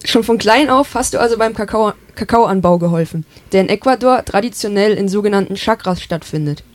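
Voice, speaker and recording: A woman host with a mid-pitched voice reads scripted lines in an inviting way. The sound is dry and close. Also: a little fast, dry recording. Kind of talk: scripted narration.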